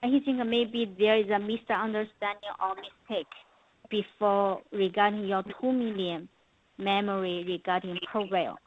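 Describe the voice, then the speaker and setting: A woman's voice: mid-range voice, steady tone, unhurried, a little hiss or hum. Chinese-accented woman on a dull conference call. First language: Mandarin Chinese. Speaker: company representative and interpreter. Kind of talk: earnings call question and answer, interpreting. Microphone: telephone.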